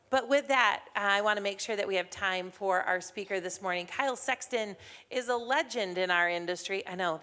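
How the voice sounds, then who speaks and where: A woman's voice: mid-range voice, pushed and bright, smooth. An upbeat woman emcees a morning business breakfast in a hall, running through announcements in a loose, chatty way with many fillers. Her voice sits in a plain middle range and comes through a podium mic. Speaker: chamber of commerce event host. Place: event hall with a live audience.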